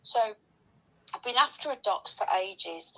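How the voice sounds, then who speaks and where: Woman, gravelly and forceful, foul-mouthed and confrontational, gossipy voice-note rant. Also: mid-range voice, expressive, measured pace, rough recording. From Northern England. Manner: blunt, aggressive, heavy swearing. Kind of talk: sweary, ranting voice-message gossip.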